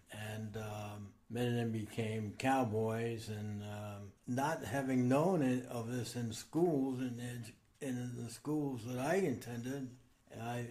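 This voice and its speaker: An elderly man in his eighties gives unscripted interview answers. He speaks slowly and haltingly in a flat, mellow voice, thinking as he goes. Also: mid-range voice. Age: 80s. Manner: halting, reflective. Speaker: interviewed artist and educator. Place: art studio.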